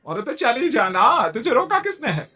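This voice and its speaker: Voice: medium pitch, big pitch swings, moderate pace, bright, forceful tone, slightly rough, soft background music, exaggerated voice. A performer voicing a quarrelsome, martyred mother-in-law who scolds and complains in a Hindi moral-story cartoon. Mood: scolding.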